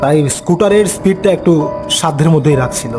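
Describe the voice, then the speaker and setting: A man narrating an animated ghost story as a storyteller, unhurried and explanatory. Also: on the high side, loud music behind the voice.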